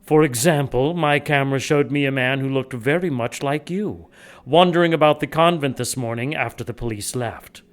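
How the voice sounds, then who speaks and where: An actor playing a cold, scheming male monk who speaks in a smooth, condescending way. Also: mid-range voice, moderate pace, natural voice.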